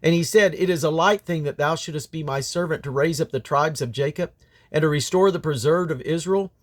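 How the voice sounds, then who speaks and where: A man reading the Bible aloud in a solemn, declamatory way, with deliberate phrasing and emphatic stresses. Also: fairly high pitch. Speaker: scripture reader.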